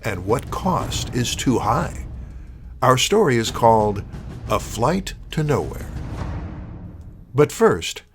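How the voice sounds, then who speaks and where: A man hosting an audio drama show, delivering a scripted introduction. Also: medium pitch. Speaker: host. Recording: music under the voice. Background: music.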